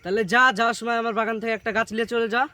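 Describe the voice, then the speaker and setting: Very high, rapid-talking blunt uncle played by a man. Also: monotone, bright, forceful tone, smooth. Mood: haggling, irritated. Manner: blunt, crude. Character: gruffly bargaining village uncle who grows and sells weed. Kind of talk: comic cartoon dialogue. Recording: very noisy, distant, outdoor bird sounds.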